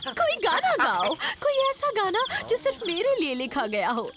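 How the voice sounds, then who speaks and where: A woman's voice: very high-pitched, huge pitch swings, normal speed, heavy background noise, exaggerated voice. A woman voicing a vain, bossy monkey queen in a cartoon, haughty and demanding. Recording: loud music behind the voice.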